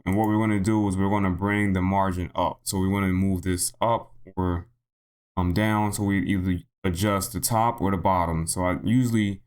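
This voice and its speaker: A man narrating a software tutorial in a casual, unscripted way, thinking aloud and repeating himself, his voice slightly hoarse. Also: sits low. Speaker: tutorial host.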